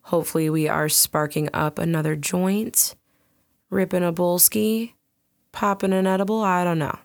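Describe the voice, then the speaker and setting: A woman co-hosting a casual podcast, chatty and upbeat, with a soft soothing voice that often breaks into giggles. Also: fairly low pitch, steady tone, moderate pace, no echo, studio quality. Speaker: podcast co-host.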